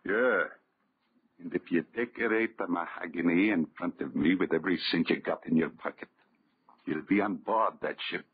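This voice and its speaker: An actor playing a salty, cocky sailor tipster in a 1930s radio drama, sly and goading, with a rough husky voice. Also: male voice, deep voice, moderate pace. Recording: tinny, narrow 1930s radio recording.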